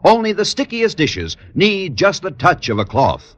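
A male commercial announcer with a raspy, slightly high voice in a dry studio. He pitches in vintage radio style, emphatic and swooping. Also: huge pitch swings, measured pace, fairly bright tone, no echo. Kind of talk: 1940s-50s radio advertising read.

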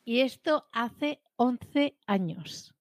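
A young woman from Spain, co-hosting a podcast, telling a story in lively casual chat with many restarts. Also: a little high, drawn-out words, dry recording. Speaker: podcast co-host.

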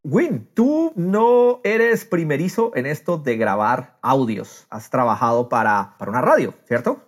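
Un hombre que conduce un podcast de charla informal, contando las cosas con animación y entusiasmo. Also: male voice, medium pitch, lively intonation, unhurried. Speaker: podcast host. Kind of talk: casual podcast interview.

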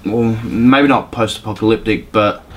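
A man's voice: fairly low pitch, moderate pace. A man co-hosting a podcast, giving his opinions in casual conversation. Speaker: podcast co-host. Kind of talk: casual chat, film opinions. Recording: noisy room with background ambience.

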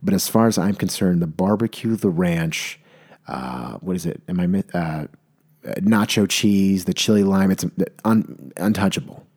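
A young man with a mellow, fairly low voice tells a comic story in a mock-serious, rambling way. He often stops mid-sentence, restarts and corrects himself. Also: normal speed, breathy edge. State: maybe congested. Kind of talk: comedic monologue.

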